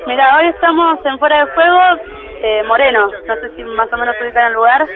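A man with a very high voice and a Buenos Aires accent gives a casual interview as a band musician. He talks in a relaxed, colloquial way. The sound is a thin, narrow telephone line. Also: fairly quick, over music. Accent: Buenos Aires Argentine. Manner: laid-back, colloquial. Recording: tinny, band-limited phone line.

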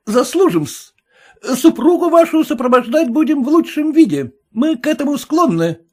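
A man voicing an eager, ambitious footman who flatters his employer and adds servile endings to his words. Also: extremely high pitch, normal speed, pitched above the performer's own voice. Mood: eager fawning. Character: fawning ambitious male footman, puffed-up.

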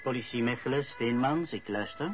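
An actor playing a businesslike, apologetic police officer in a radio play. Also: male voice, medium pitch, brisk, lightly acted. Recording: noisy radio play recording.

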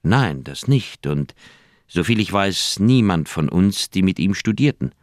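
An actor playing an older, thoughtful doctor, recounting memories in a measured way in a radio play. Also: male voice, sits low, expressive, no room sound, studio quality. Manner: measured, deliberate storytelling. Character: older male Swiss doctor, thoughtful, candid old friend. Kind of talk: radio play dialogue, reminiscing narration.

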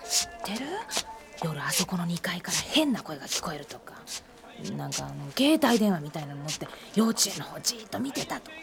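An actress playing a gossipy middle-aged neighbourhood housewife in a Japanese radio drama. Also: female voice, lowish voice, very animated, a little fast, creaky. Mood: hushed gossip.

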